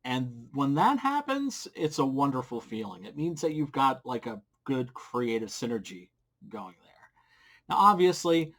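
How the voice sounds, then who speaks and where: A middle-aged man talks casually at a desk mic at home, sharing creator advice. His voice sits at an ordinary pitch, and his delivery is blunt and informal, with a crude streak of humor. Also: sing-song, measured pace, fairly bright tone. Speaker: comic book creator giving advice. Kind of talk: casual advice vlog to camera. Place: small indoor home room. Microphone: desk microphone with pop filter, close.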